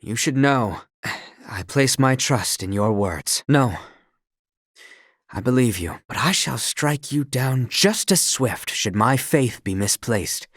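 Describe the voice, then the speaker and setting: A man's voice: mid-range voice, expressive, slow pace, a bit bright, no room sound, studio quality. A man voicing a loyal, duty-bound young knight in a video game, speaking in a formal, stately, earnest way. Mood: stern. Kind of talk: video game voice acting, formal archaic dialogue.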